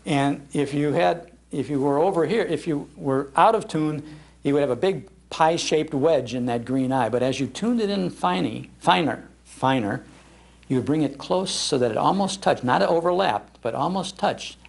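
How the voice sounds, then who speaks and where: An older American man with a Midwestern accent tells nostalgic stories to a live audience in a folksy, animated manner, repeating phrases for emphasis. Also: on the high side, moderate pace, gravelly. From Chicago, United States. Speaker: speaker giving a talk on old-time radio. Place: public library, live talk.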